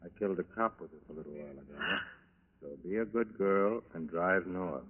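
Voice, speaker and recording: An actor playing a polite, cold-blooded American fugitive killer in a 1940s radio drama. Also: male voice, low voice, brisk, very noisy, natural voice. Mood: coolly menacing. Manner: polite, self-possessed, menacing. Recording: thin phone-like 1940s radio recording, music behind.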